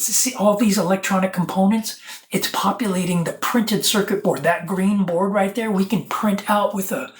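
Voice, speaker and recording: Earnest preachy person rambling to camera, stop and start, medium pitch, stretches words out for wordplay. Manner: halting, digressive, with drawn-out words and wordplay. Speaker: self-taught activist presenter.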